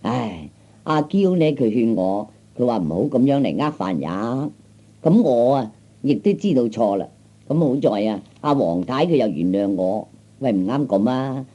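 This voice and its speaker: A man's voice: mid-range voice, very animated, normal speed, mellow. A man playing a mild, conciliatory fellow with a Cantonese accent, speaking soothingly in old radio comedy style. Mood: apologetic. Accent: Cantonese, Hong Kong or Guangdong. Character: mild, conciliatory grown man, slow peacemaker. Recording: dull old 1960s comedy record.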